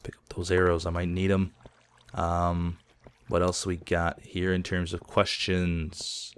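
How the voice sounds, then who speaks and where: A somewhat low-voiced man in his thirties, hoarse as though he is losing his voice. He rambles through personal stories while playing, chatty and self-deprecating, laughing at himself often. He is recorded at home on a desk mic. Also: completely flat delivery, slow pace. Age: 30s. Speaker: gaming video host. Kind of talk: casual gameplay commentary.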